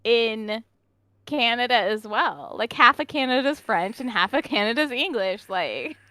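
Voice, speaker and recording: A woman's voice: on the high side, expressive, measured pace, bright, forceful tone, no room sound, clean studio sound. A woman with an American accent, a chatty and emphatic podcast co-host in casual conversation. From United States. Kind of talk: casual chat.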